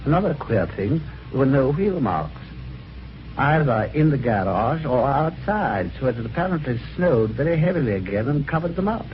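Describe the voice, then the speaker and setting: An actor playing an eager, excitable Englishman telling his own strange adventure in an old radio mystery, with a British accent and genteel old-fashioned phrasing. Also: medium pitch, normal speed, lightly acted. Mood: puzzled, recounting. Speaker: lead actor and first-person narrator. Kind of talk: golden age radio mystery acting. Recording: dull old radio recording, music behind.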